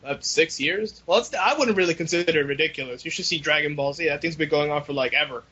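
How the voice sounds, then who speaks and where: A man's voice: fairly high pitch, quick pace, bright, forceful tone, husky. A young adult man chatting casually as co-host of a fan podcast over a voice call. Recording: dull lo-fi internet call.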